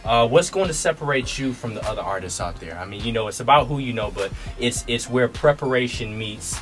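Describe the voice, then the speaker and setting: A man's voice: mid-range voice, animated, a little fast. A man working as host and interviewer, speaking informally in a casual interview style. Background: music.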